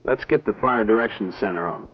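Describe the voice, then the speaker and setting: An actor playing an army officer at a sensor monitoring post, using crisp radio-procedure talk. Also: male voice, fairly low pitch, fairly quick, lightly acted. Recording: dull lo-fi 1960s film soundtrack with faint hiss.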